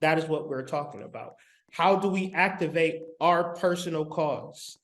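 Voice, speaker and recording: A man with a high voice lectures on spirituality over a live stream, recorded on his laptop's mic. His delivery is emphatic and colloquial, circling back over his points and talking straight to the people watching. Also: normal speed, fairly bright tone. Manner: emphatic, repetitive, colloquial, talks straight to viewers. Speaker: spiritual teacher.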